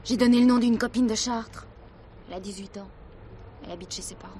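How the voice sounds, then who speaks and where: Woman acting a high-voiced, guarded teenage girl. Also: steady tone, fast talker, warm tone, a little hiss or hum, lightly acted. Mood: confiding. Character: naive, guarded sixteen-year-old runaway girl. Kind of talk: French radio drama acting.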